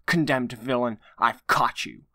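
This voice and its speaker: A man's voice: mid-range voice, measured pace, sharp tone, no echo, studio quality. A man playing a proud, mournful and righteous young nobleman in a dramatic audio drama, speaking in an earnest, declamatory way. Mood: indignant. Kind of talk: dramatic audio drama acting, modernized Shakespeare.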